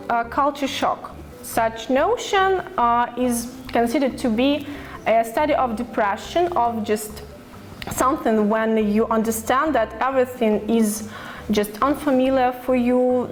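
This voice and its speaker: A woman teacher explains a video lesson in English, pausing often with fillers. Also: a little high. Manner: hesitant, with fillers and grammar slips. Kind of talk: classroom explanation.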